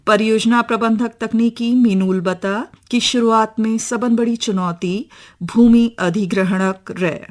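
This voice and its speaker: A woman's voice: fairly high pitch, steady tone, measured pace. A woman with a Kumaoni accent reads a scripted regional news bulletin as a radio news reader.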